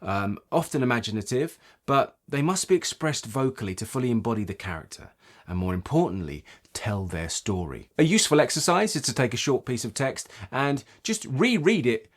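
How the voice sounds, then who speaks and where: Expressive male voice coach with animated intonation. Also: medium pitch, normal speed. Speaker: voice coach presenting a tutorial. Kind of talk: instructional video explanation.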